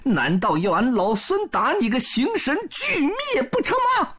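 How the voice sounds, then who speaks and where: A man's voice: extremely high pitch, wildly swooping pitch, a little slow, fairly bright tone, breathy edge, pitched above the performer's own voice, heavily acted. A man voicing a bold, cocky, defiant monkey-king warrior in a storybook tale, piercing and commanding.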